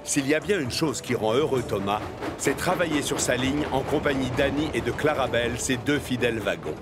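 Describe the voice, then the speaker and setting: A man's voice: medium pitch, brisk. Male storyteller over music. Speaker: narrator. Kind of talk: scripted storybook narration for a children's cartoon.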